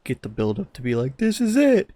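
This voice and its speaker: A man co-hosting a podcast in casual chat, halting as he searches for his words. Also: mid-range voice, wildly swooping pitch, dark tone, dry recording. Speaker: podcast co-host.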